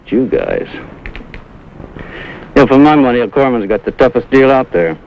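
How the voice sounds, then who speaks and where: An actor playing a tough, blunt, seasoned American Marine with terse, slangy speech in a 1950s war drama. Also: male voice, medium pitch, expressive, normal speed, gravelly. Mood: blunt, grim. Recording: muffled 1950s TV soundtrack, faint noise.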